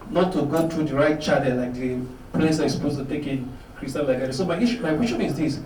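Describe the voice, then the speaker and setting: A man's voice: on the high side, darkish tone. A man with a Nigerian accent asking a question from the audience, emphatic and repeating himself. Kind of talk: spoken question at a public event. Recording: roomy, distant mic, faint noise.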